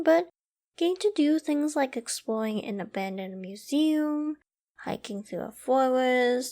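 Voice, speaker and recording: A woman's voice: on the high side, a little mellow. A woman playing an obsessive, lovesick young survivor in an intimate roleplay drama, sweetly controlling, affectionate and possessive. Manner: affectionate, giddy, possessive, with breathy sighs and giggles.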